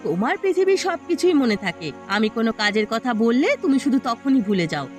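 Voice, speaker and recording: A woman voicing a resentful, sarcastic young village wife in a cartoon, with a sharp scolding manner. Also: on the high side, very animated, fast. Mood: angry, accusing. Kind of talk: scripted cartoon dialogue. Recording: loud music behind the voice.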